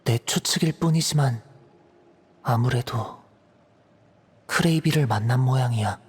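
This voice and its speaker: A man's voice: medium pitch, unhurried, slight background noise, lightly acted. A man voicing a reserved, worried teenage boy in an anime-style game, speaking tentatively and in a subdued way.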